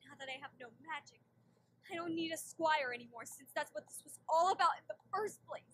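A woman's voice: sits high, a little fast, fairly bright tone. A woman playing a proud, betrayed young pony princess in a dramatic fan cartoon style. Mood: hurt, bitter. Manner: dramatic, emphatic.

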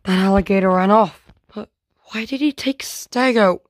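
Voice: medium pitch, normal speed, no room sound, natural voice. Someone playing an anxious, exclaiming dinosaur hero. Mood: panicked grief. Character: frightened, loyal dinosaur hero protecting friends. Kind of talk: homemade dinosaur story play-acting.

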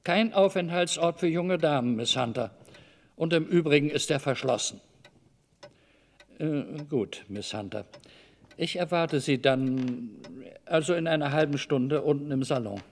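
A man's voice: on the high side, unhurried. A man playing an evasive, overly cordial middle-aged master of a house in a German radio drama, wordy, hesitant and patronizing. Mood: evasive, warning.

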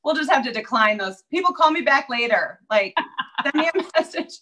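A woman's voice: high-pitched, animated, moderate pace, bright, forceful tone. A woman with a Minnesota accent, a real estate agent chatting upbeat as a guest over a video call. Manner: chatty, upbeat, many fillers. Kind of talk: casual interview chat.

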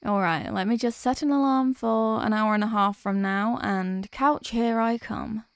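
A woman playing a sweet, sleepy workaholic girlfriend in an ASMR audio roleplay, speaking softly and hesitantly with affection. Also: fairly high pitch, measured pace, no room sound, clean studio sound. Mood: sleepy, giving in.

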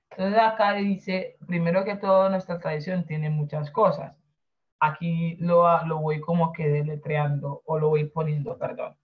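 A woman whose first language is San Andrés Creole, teaching an online class and slowly explaining and translating each word. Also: lowish voice. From San Andrés Island, Colombia. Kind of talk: online class over a video call. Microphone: video call. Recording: dull lo-fi video call audio.

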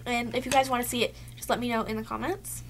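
Young woman talking into a webcam, high voice, sick and kinda losing it, lots of "like". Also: steady tone, quick pace, a little hiss or hum. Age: young adult. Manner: chatty, lots of "like" fillers. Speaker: beauty vlogger. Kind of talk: casual haul chat to camera. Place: cluttered bedroom, indoors.

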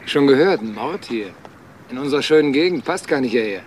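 A man's voice: medium pitch, lively intonation, fairly quick, lightly acted. An actor playing a breezy, flippant, chatty businessman in a crime radio play. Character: flippant businessman, son of an eminent doctor. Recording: outdoor ambience, faint noise.

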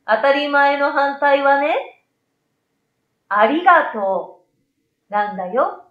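A woman's voice: sits high, animated, slow pace, roomy sound, phone-quality sound. A woman voicing a caring, motherly mum who scolds and soothes in a storybook reading. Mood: gentle, explaining. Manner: motherly, gentle explaining.

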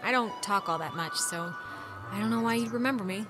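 A woman's voice: mid-range voice, fairly quick, over music, close to an everyday voice. A young American woman playing a blunt, friendly teenage girl in a video game, with a dry, casual way of talking. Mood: friendly, curious. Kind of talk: naturalistic conversational video game acting.

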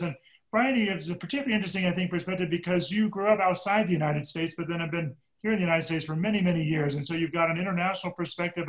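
A man moderating an alumni panel, earnest and measured, often restarting his sentences. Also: high-pitched, low-quality recording. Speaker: panel facilitator.

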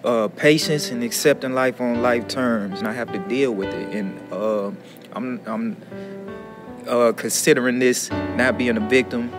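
Reflective, halting American man over guitar. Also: medium pitch. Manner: philosophical, halting. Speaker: prison education student. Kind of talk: reflective interview talk. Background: acoustic guitar music.

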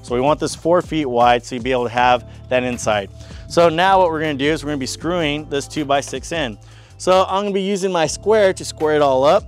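A man's voice: a little high, a little fast, faint music behind. A man in his thirties giving a casual, chatty DIY woodworking tutorial on an outdoor covered porch workshop, talking to viewers as guys. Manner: upbeat, chatty, says guys and man a lot. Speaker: fitness coach building gym gear.

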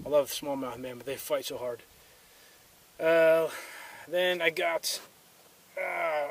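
A man's voice: a little high, a bit bright, far from the mic. A man vlogging outdoors while fishing, talking in a laid-back, rambling way with lots of fillers. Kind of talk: casual outdoor vlog.

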